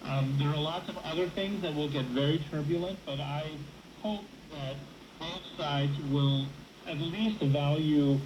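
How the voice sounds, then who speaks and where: A man giving measured political analysis as a panel guest, laying out his points in order, heard over shortwave radio. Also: on the high side, highs cut off. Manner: structured, enumerating points. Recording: roomy call over noisy shortwave radio.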